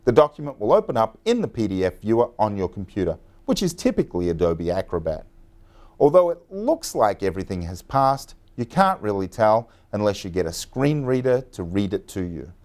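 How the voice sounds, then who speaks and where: Lively-voiced man teaching software. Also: lowish voice, a little fast. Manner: clear, instructive, step-by-step. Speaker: software trainer. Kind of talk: scripted tutorial narration.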